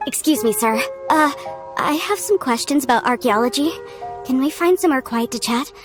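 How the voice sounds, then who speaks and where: An earnest, mannerly academic in a video game. Her fairly high voice is careful and formal, with music clearly behind it. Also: animated, normal speed. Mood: polite, earnest. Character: polite, earnest woman scholar of archaeology. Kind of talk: scripted video game dialogue. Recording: music under the voice.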